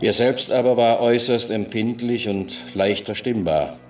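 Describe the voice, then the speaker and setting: A man's voice: lowish voice, moderate pace, a little mellow. A man reading out a written report in a formal, detached manner. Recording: slightly distant, faint music behind.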